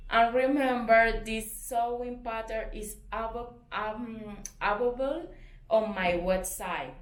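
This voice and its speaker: Colombian woman, Spanish native, sewing tutor; unhurried, hesitant English, roomy sound. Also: a little high. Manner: careful, hesitant non-native English with fillers and apologies.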